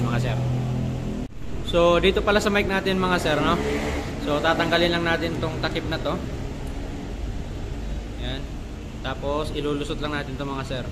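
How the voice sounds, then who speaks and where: Filipino man vlogging over loud noise. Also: fairly high pitch, moderate pace, long pauses. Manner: conversational, addresses viewers often, repeats himself. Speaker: motorcycle vlogger. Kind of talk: casual vlog chat and DIY walkthrough.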